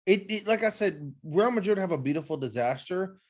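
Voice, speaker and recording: A man with a somewhat high voice co-hosts a relaxed sports podcast. He talks in loose, filler-heavy runs and slips into little quoted voices to make his point. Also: a little fast. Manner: filler-heavy, joking, acts out imagined quotes. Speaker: podcast co-host. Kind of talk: casual sports chat.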